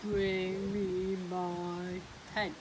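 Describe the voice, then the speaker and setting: A woman voicing a big, gruff, greedy male giant in a children's story, speaking slowly and loudly over background noise. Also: mid-range voice, flat delivery. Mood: booming. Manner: slow, loud and drawn out.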